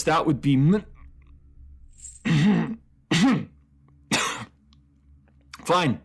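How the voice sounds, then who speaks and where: A man plays a captured ruler with a mercenary past in a fantasy audio drama. His mid-pitched voice has a heavy creak to it. He speaks in proud, formal phrases that can turn halting, with bitterness underneath. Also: full character voice. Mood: grudging. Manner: halting, stammering when flustered, formal fantasy diction. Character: captive enemy ruler, proud and bitter former mercenary, male adult.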